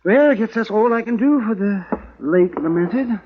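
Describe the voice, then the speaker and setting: Garrulous frontier doctor, folksy, wry, highish swooping husky voice, muffled 1950s radio western. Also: male voice, animated. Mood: wry, morbid. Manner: chatty, drawn-out, folksy. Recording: muffled old radio broadcast.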